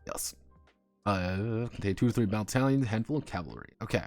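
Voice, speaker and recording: Low-voiced man streaming over music. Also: animated, fairly quick, darkish tone. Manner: rambling, chuckling asides. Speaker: live streamer and tabletop game designer. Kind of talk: casual stream commentary, reading aloud draft game text.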